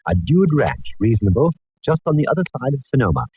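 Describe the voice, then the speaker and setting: An actor playing an urbane, theatrical gentleman with a pompous, droll, flowery delivery in a 1940s radio drama. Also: mid-range voice, huge pitch swings, quick pace, slightly rough, exaggerated voice. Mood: droll, coaxing. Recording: tinny, narrow-band old radio recording.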